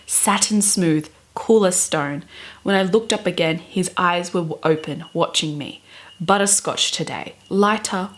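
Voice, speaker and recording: A young adult woman with a theatrical, expressive way of talking, doing dramatic readings and chatty commentary to camera in a bedroom. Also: lowish voice. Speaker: book vlogger. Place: small bedroom, indoors.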